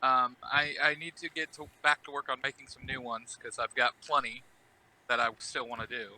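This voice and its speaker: A man's voice: mid-range voice, level tone, moderate pace, sharp tone, rough recording. A man chatting casually as a guest on a podcast. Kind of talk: casual chat.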